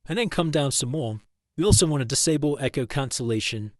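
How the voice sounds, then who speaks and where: A man narrating a step-by-step software tutorial in a plain, instructional way, close to a desktop microphone. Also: medium pitch, animated, fairly quick, no room sound. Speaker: tutorial host.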